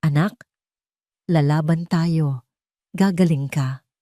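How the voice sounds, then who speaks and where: A woman voicing an anxious, tender mother of a sick child, hesitant and soft-hearted. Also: deep voice, slow, no room sound, studio quality.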